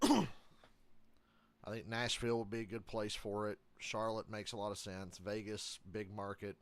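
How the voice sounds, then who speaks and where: Low-voiced man with a strained, hoarse throat. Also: normal speed. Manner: blunt, offhand, swears freely. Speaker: channel host reacting to a live race. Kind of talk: casual live reaction commentary.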